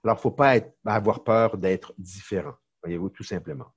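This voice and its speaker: Man, Québécois accent, middle-aged, lively storyteller, many fillers. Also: lowish voice, normal speed, slightly rough, highs cut off. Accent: Québécois French. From Quebec, Canada. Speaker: lawyer and entrepreneur, podcast guest. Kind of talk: casual interview chat.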